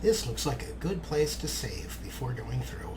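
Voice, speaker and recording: A man's voice: medium pitch, sing-song, moderate pace. A man hosting a let's play with casual gameplay commentary. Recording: clear background noise from game.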